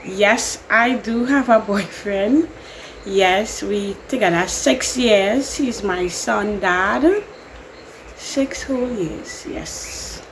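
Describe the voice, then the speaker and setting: A woman's voice: mid-range voice, very slow. Young Trinidadian woman, casual rambling vlogger with a mid-range voice, slight background hiss. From Trinidad and Tobago. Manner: chatty, rambling, laughs often. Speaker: YouTuber. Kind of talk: casual Q&A vlog.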